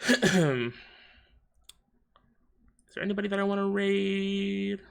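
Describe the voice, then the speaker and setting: A man's voice: high-pitched, takes it slowly, creaky, clean tone. A man streaming a game, chatting loosely in slang while his throat is sore and strained. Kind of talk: casual livestream chat.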